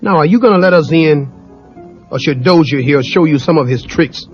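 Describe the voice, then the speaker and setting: A man's voice: fairly high pitch, big pitch swings, fairly quick, dull audio, soft background music, voice pushed up. Tough storybook coach, blunt and firm, slightly raised voice, by the male narrator.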